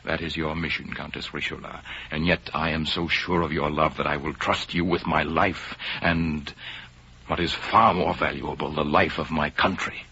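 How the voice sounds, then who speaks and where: Deep-voiced romantic count, old radio drama. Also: male voice, steady tone, moderate pace, gravelly, bright, forceful tone, breathy edge, exaggerated voice. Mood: grave, confiding. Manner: earnest, romantic, declamatory. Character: ardent aristocratic count, earnest lover and patriot. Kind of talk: 1930s radio drama acting. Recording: muffled old 1930s radio recording with music.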